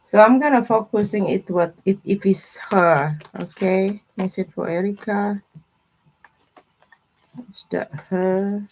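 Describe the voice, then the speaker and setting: A woman's voice: mid-range voice, slow pace, a little mellow, low-quality recording. A woman with informal, non-native English phrasing, chatting casually with viewers as she gives a live tarot reading. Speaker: tarot reader hosting a live stream.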